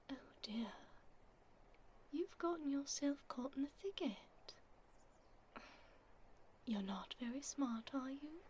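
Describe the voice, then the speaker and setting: A woman voicing a haughty but tender vampire duchess in a soft, whispered ASMR roleplay, with formal, aristocratic phrasing. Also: high voice, a little hiss or hum. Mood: tender, soothing.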